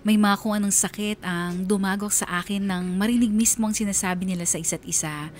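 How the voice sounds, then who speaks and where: A woman's voice: mid-range voice, steady tone, brisk, soft background music, close to an everyday voice. A woman playing a lovelorn young woman, narrating her secret love earnestly and confessionally in a radio drama. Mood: aching, resigned.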